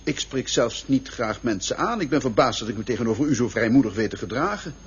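An actor in an absurdist radio play, playing an evasive, self-effacing traveller with a formal, contrary manner. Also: male voice, mid-range voice, lively intonation, fast talker, slight background noise, natural voice. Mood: loftily evasive. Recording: muffled old radio drama recording.

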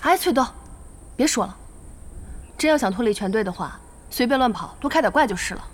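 A lively young female team leader. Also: on the high side, brisk. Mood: placating. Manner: practical, placating, bossy. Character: brisk young female team leader, peacemaking, mildly exasperated. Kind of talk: Chinese audio drama acting.

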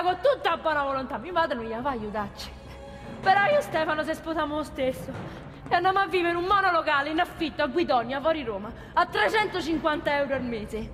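High-voiced, exasperated Roman working woman. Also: fairly bright tone. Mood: matter-of-fact. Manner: colloquial, plaintive, emphatic. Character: exasperated pregnant working-class woman in her thirties. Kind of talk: theatrical radio drama monologue. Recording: reverberant theatre with music behind.

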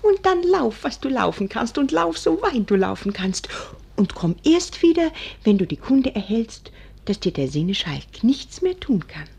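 An actress playing a coquettish, tender young countess in a 1940s German radio play, cooing and often whispering. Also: female voice, a little high, big pitch swings, measured pace, a little mellow, exaggerated voice. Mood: urgent, secretive. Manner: breathy, whispering, cooing endearments.

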